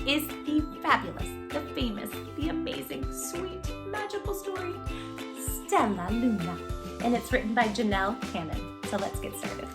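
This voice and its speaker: A woman host reading a children's story aloud in an animated, expressive way, with dramatic pauses and drawn-out emphasis. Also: mid-range voice.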